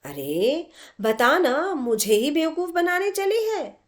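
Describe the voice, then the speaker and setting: A woman's voice: high-pitched, very animated, takes it slowly, voice pushed up, full character voice. A woman voicing a curious, astonished woman painter in a story reading, probing her old friend. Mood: incredulous. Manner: incredulous, probing.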